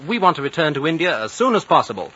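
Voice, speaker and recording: An actor playing an impatient young British army captain, blunt and agitated, in 1950s radio drama style. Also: male voice, on the high side, very animated, fast talker, fairly bright tone, slightly rough, heavily acted. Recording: dull old radio broadcast.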